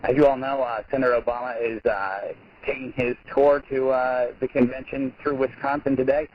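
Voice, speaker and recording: A man's voice: medium pitch, steady tone, normal speed. A man hosting a press conference call, giving an informal introduction over a muffled phone line. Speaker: campaign aide introducing the speaker and hosting the call.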